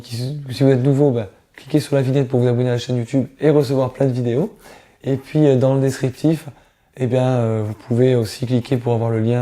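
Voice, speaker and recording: A middle-aged man, hoarse from illness, giving casual career advice straight to his viewers in a direct, coaxing way. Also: mid-range voice, mellow. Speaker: career coach. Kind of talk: casual advice talk to camera.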